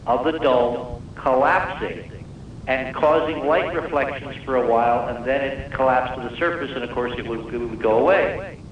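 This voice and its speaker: A man's voice: medium pitch, normal speed. A man speaking as a guest researcher on a live radio interview, explaining technical detail emphatically and repeating words for weight. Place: live radio call-in show. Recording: distant, very echoey, muffled noisy radio broadcast.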